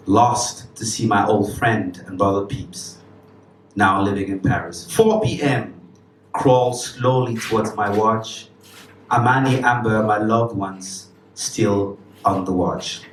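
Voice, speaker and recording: Poet from Cameroon performing on stage. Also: male voice, mid-range voice, a little hiss or hum. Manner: rhythmic, emphatic delivery with casual asides. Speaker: spoken word poet. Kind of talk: spoken word poetry performance. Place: live stage with audience. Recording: roomy, distant live recording.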